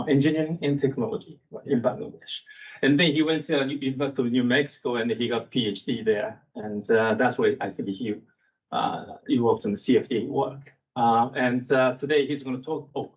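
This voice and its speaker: Hesitant man introducing a speaker. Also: mid-range voice. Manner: hesitant, many fillers. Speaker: seminar host. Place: conference room. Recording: distant mic, dull sound.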